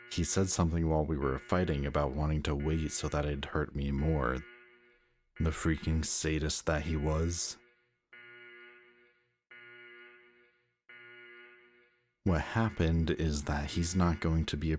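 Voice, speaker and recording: A man acting a possessive, anxious antihero villain in an emotional audio roleplay, halting and intense as he mutters. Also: rumbling low, little pitch movement. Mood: grim, protective. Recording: music behind the voice.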